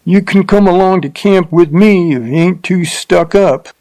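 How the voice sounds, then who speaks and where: A man's voice: sits high, a little slow, a little mellow, no echo, pitched above the performer's own voice. A man voicing a crude, drunken frontier rider with a coarse rustic drawl. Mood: leering.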